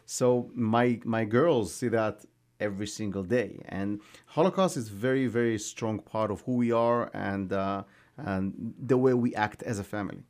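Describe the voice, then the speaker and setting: A man from Israel, a guest in a studio, telling his family history in a measured way with frequent pauses and restarts. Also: on the low side, a little mellow. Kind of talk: conversational interview answers.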